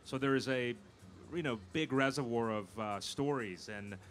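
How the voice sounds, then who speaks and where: A man speaking as a film producer guest in a live panel interview, pausing and repeating words as he thinks. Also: mid-range voice, a bit bright, faint music behind. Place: live convention stage. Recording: noisy live event room.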